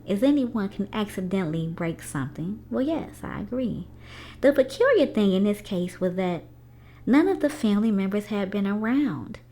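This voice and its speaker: Expressive woman reading a children's story. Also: medium pitch. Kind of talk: expressive read-aloud story narration.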